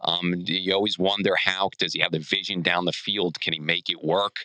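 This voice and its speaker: Man, very low voice, forceful bright tone, halting delivery, sports podcast chat. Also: flat delivery, dry recording, low-quality recording. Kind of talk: casual sports discussion.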